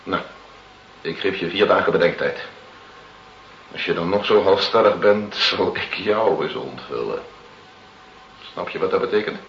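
An actor in a radio play voicing a mocking, mock-friendly gangster who smuggles drugs and blackmails. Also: male voice, low voice, measured pace, pauses often. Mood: taunting, menacing. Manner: sly, mock-friendly, taunting. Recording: muffled, noisy, roomy old radio recording.

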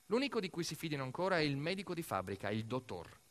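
A man performing a narrative theatre monologue as a storyteller, emphatic and dramatic, swinging between hushed and raised delivery. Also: fairly high pitch, very animated, quick pace. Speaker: stage actor narrating a monologue.

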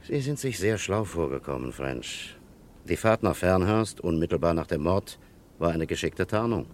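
An actor playing a harsh, smug police captain with a creaky, gruff voice, accusing deliberately in a 1960s radio crime drama. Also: male voice, deep voice, lively intonation. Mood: menacing. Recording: old radio play, faint background noise.

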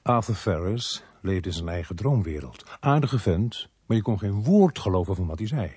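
A rueful detective in a radio drama, very raspy and close, with a dry sound. His intonation moves widely as he reflects. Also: lowish voice, very animated, moderate pace, darkish tone, no room sound. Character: reflective male narrator, rueful police investigator. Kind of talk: radio play narration.

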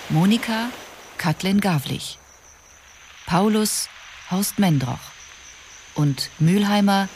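Slow, formal male announcer. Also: fairly high pitch, darkish tone, a little hiss or hum. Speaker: credits announcer. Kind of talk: formal scripted radio announcement.

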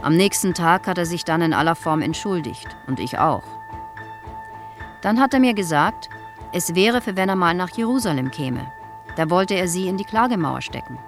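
Music plays clearly under an actress as a theatre dresser in her late fifties. Her voice sits fairly low. She recounts in a plain, reflective, slightly halting way, like radio drama testimony. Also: natural voice. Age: 50s. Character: reserved theatre dresser aged fifty-nine, plain-spoken, regretful. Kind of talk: radio play acting, interview testimony.

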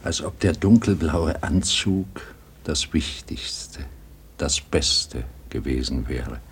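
An actor playing a weary, wistful old grandfather in a radio drama, with a husky voice and a brooding, halting delivery. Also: very deep voice, animated, full character voice.

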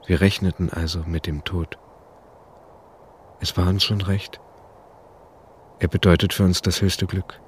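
Condemned young robber, deep voice, formal bitter farewell, radio drama, slight background noise. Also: male voice, little pitch movement, a little slow, pauses often. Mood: solemn resignation. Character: doomed young bank robber reading his bitter, self-righteous farewell letter.

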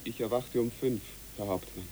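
A man's voice: medium pitch, normal speed, heavy background noise, lightly acted. An actor playing a dutiful soldier who reports formally and poetically to his captain in a radio play. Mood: earnest reporting. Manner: formal report turning lyrical. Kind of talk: literary radio play acting.

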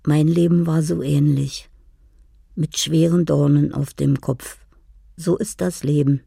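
A dreamy older woman in a radio play, speaking in a low and gravelly voice. She recites her thoughts like poetry, slowly and without hurry, over faint music. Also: steady tone, mellow, no echo, studio quality, heavily acted. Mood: dreamy, poetic. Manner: slow, poetic, measured delivery. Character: dreamy older woman in assisted living, poetic letter writer and reminiscer. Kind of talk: radio drama monologue.

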